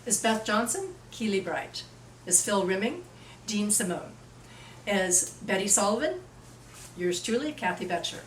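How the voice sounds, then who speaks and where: A woman with a medium-pitched voice reads out a cast introduction as co-writer. She sounds a little far from the mic, in an echoey room with faint noise. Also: sing-song, a little slow, pauses often. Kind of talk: event introduction.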